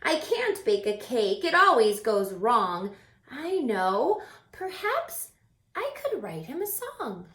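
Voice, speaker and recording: A woman's voice: a little high, huge pitch swings, takes it slowly, fairly bright tone, heavily acted. A woman voicing a small, earnest, selfless male Christmas elf from a storybook in a sincere, sing-song way. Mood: earnest, pondering. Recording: roomy, echoing room sound.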